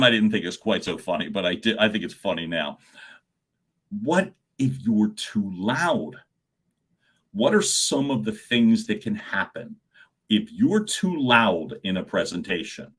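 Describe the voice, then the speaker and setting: Loud, animated male speaking coach. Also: breathy edge. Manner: animated, swings pitch and volume, chuckles often. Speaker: communication coach hosting a live stream. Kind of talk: live-streamed coaching talk.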